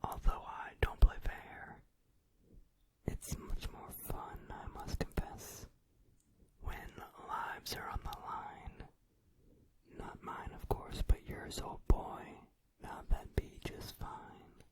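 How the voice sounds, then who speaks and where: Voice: slow pace, close to an everyday voice. A performer whispering the part of a cruel, gambling male boogeyman who gloats in singsong. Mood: gloating. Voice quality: whispered, unvoiced. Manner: mocking, singsong. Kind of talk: whispered song cover, ASMR.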